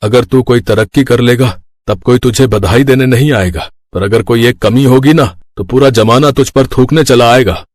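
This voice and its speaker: A man's voice: medium pitch, normal speed, few pauses, no echo, studio quality, close to an everyday voice. A man voicing a sensible older father-in-law who advises his family in a cartoon moral story. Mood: advising.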